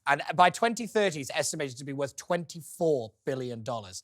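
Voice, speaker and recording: Quick-fire male panelist, higher voice, dry close sound. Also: lively intonation, fairly bright tone, no echo. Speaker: panel guest. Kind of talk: studio panel discussion. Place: television studio.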